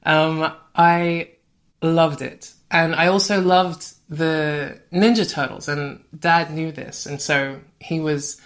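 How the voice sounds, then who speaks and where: A woman's voice: sits low, takes it slowly. Chatty woman from Australia telling stories. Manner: chatty, animated storyteller. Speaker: podcast guest, writer. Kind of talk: casual anecdotal storytelling.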